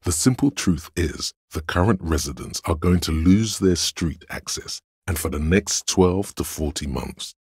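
An actor voicing a cool, analytical inner voice of logic in a detective game, narrating in a measured, dryly wry way. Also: male voice, low voice, lively intonation, a little mellow, slightly rough, no room sound, studio quality, full character voice. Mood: matter-of-fact. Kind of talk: scripted second-person game narration.

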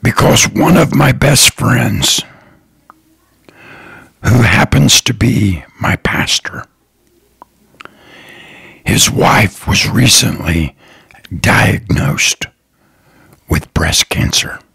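A man hosting his own podcast, talking slowly and earnestly in a raspy, partly whispered voice. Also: fairly low pitch, bright, forceful tone, dry recording. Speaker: podcast host, lawn care business owner. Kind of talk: slow, earnest solo podcast talk.

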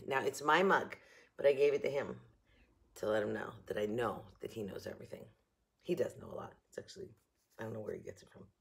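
Slightly hoarse, chatty woman in her kitchen. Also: low-pitched. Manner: chatty, rambling, self-mocking. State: a little hoarse. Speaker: haul vlogger. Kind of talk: casual chat to camera. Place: home kitchen, indoors, early morning.

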